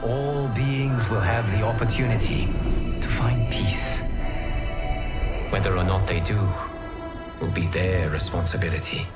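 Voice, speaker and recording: An actor playing a solemn godlike creator, narrating a video game with grave, measured serenity. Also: male voice, fairly low pitch, fairly even intonation, lightly acted. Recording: loud music behind narration.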